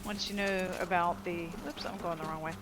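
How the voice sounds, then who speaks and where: A woman's voice: lowish voice, steady tone, a little fast, noisy background. A woman giving casual, offhand, rambling commentary as she plays a video game. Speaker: video game let's player. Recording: loud game music behind voice. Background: loud game music and effects.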